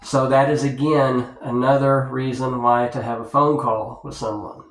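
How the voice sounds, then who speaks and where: A man giving an unscripted advice monologue to viewers, speaking in a halting, repetitive, lecturing way. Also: mid-range voice, level tone. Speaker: video blogger giving dating advice. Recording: roomy, echoing sound.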